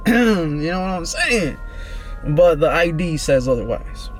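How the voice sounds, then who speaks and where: A man in his twenties, vlogging in a relaxed, rambling, slangy way, answering questions about himself. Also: on the high side, slow pace, faint music behind. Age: 20s. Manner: laid-back, rambling, slangy. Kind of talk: casual get-to-know-me chat.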